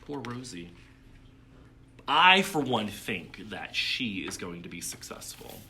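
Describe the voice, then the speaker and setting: Male storytime reader, unhurried and very expressive, dramatic pauses, clear background noise. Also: fairly low pitch. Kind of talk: expressive storybook read-aloud with casual asides.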